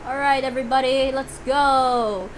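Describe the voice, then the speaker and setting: A woman's voice: sits high, steady tone, unhurried, a little hiss or hum, low-quality recording. A woman doing casual live gameplay commentary in a playful, exclamatory way, swearing freely. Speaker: game streamer.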